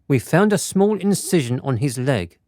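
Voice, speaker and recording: A precise, courteous doctor from a period radio play, acted by a man. His voice sits fairly high and swings widely in melody, while the phrasing stays formal and deliberate. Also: big pitch swings, warm tone, no echo, studio quality. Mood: grave, explanatory. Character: courteous, precise physician reporting to a lord. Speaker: actor in a radio drama. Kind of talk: theatrical period radio drama acting.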